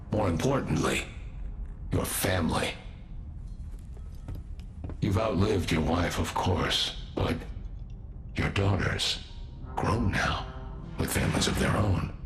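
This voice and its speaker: Video game villain: cold, condescending dealmaker, very low voice, deliberate delivery, roomy echo. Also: male voice. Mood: cold menace. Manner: slow, deliberate, formal phrasing. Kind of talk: dramatic video game cutscene acting. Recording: roomy echo under loud music.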